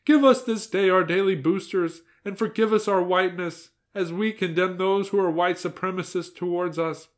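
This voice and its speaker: Man puts on an elderly grandma, way up in falsetto, fervent prayer delivery. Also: moderate pace, few pauses, close to an everyday voice. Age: older.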